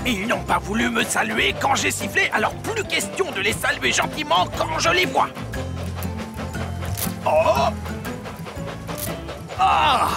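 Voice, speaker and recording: A small cartoon engine who is sulky and bent on revenge, with a very high, gravelly voice. The delivery is slow and theatrical, with wide swings in pitch. Loud music plays under the studio dub. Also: male voice, big pitch swings, exaggerated voice. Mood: indignant. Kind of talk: dubbed children's cartoon acting.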